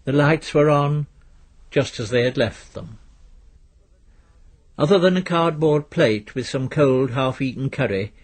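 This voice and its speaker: A man reading a literary story as narrator in a measured, dry storytelling manner. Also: mid-range voice, lively intonation. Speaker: narrator, reader.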